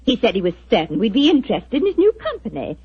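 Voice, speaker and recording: A coaxing young wife. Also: female voice, on the high side, wildly swooping pitch, fast talker, breathy edge, heavily acted. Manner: gentle and coaxing, hesitates when unsure. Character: young wife, sweet, eager and persuasive. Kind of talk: 1940s radio acting. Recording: dull 1940s radio recording.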